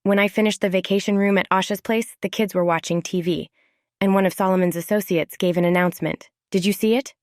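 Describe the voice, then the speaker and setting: A woman's voice: mid-range voice, steady tone, fairly quick, dry recording, clean studio sound, voice pushed up, natural voice. A woman voicing an eager, earnest teenage girl who works construction, in an audio story. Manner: eager, direct.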